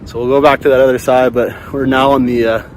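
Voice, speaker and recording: A man's voice: level tone, fast talker. Laid-back man vlogging in windy noise. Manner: slangy, laid-back rambling. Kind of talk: casual outdoor vlog chat. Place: outdoors at a ski area. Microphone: handheld or worn action camera. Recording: outdoor wind and rumbling noise.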